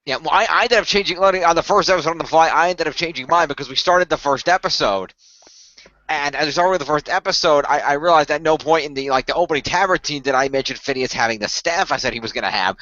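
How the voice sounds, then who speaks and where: A man, a cast member of a radio play, talking quickly with frequent restarts in a casual online group chat over muffled audio. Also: on the high side, pushed and bright, no echo. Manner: fast, restarts often.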